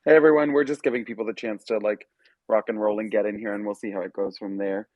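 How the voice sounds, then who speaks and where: A man's voice: on the low side, steady tone, quick pace, a little mellow, no room sound. A young man from Miami hosting a casual live audio chat, upbeat and chatty, with many fillers. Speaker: host.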